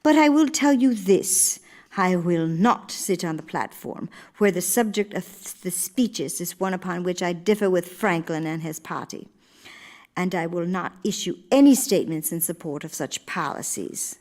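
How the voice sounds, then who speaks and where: An actress playing a principled, dignified older in live radio theater, speaking in a measured, formal and earnest way. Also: female voice, on the low side, a little mellow, lightly acted. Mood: firm.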